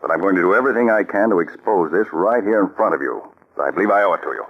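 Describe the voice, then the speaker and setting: A low-voiced, commanding counterspy chief, firm and clipped. He speaks in measured, controlled lines. The vintage radio recording sounds dull and lo-fi. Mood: stern. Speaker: lead agent. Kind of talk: 1940s radio acting. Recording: dull old radio broadcast.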